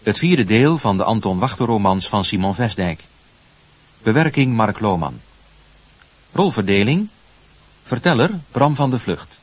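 A man with a husky voice reading a scripted announcement of credits. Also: fairly low pitch, expressive, unhurried, long pauses, dry recording.

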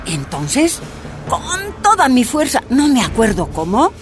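An actress narrating as a miner's wife recounting her torture in a radio drama, grave and measured. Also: sits high, huge pitch swings, exaggerated voice. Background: soft music.

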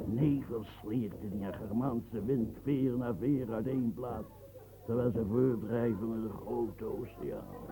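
An actor playing an aging fortress-building marshal in a radio play, declaiming grandly and stammering when moved. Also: male voice, mid-range voice, steady tone, slow, crowd noise, low-quality recording. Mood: brooding, declaiming. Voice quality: gravelly, dark and husky. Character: aging royal fortress engineer, proud, brooding. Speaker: military engineer marshal. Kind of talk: radio drama acting.

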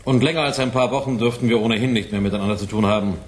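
An actor playing a stubborn, commanding military major in a radio drama, gruff and condescending. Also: male voice, mid-range voice, rapid-fire, close to an everyday voice. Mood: overbearing. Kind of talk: 1980s German radio drama acting.

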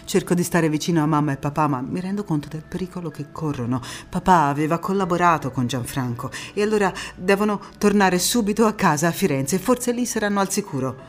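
Actress as intense low-voiced woman partisan, music behind. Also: expressive, lightly acted. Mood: resolute, reflective. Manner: intense, deliberate, with dramatic pauses and repetitions. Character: young Italian partisan woman recalling the war, grieving yet resolute. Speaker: stage actress in a monologue. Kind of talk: dramatic theatrical monologue narration.

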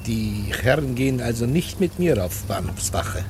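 An actor playing a smooth, over-polite pickpocket in a radio play, speaking in courteous, sly, formal phrases. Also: male voice, medium pitch, lively intonation, moderate pace, over music, natural voice.

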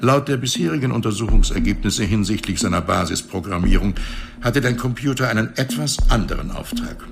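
A wry chatty sci-fi revival medic guy, really low gravelly voice, radio play style. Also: animated, fairly quick, slight background noise. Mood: wry, explaining. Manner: wry, flippant, explanatory. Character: glib, chatty male reanimator in a future space colony. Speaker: actor in a radio drama. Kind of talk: German science fiction radio play acting.

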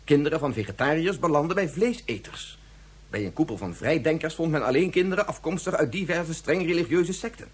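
An actor playing an earnest scientist in a science fiction radio drama, arguing in a formal, deliberate way. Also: male voice, a little high, animated, breathy edge. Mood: insistent, explaining. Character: earnest male scientist and father, insistent, methodical.

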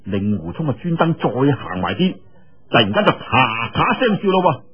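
A man with a husky voice tells a martial arts story in a dramatic, colloquial style with drawn-out particles. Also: medium pitch, sing-song. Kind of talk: animated Cantonese storytelling of a martial arts novel. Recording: dull, lo-fi radio-like audio.

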